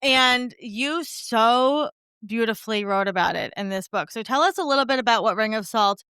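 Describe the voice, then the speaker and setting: A woman's voice: on the high side, moderate pace, bright, forceful tone, smooth, dry recording, studio quality. A middle-aged woman hosting a podcast, interviewing in an effusive, informal, conversational way. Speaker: podcast host.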